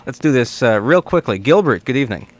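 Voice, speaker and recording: Male radio host, medium-pitched voice. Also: expressive, fast talker. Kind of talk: conversational call-in radio hosting. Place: radio studio.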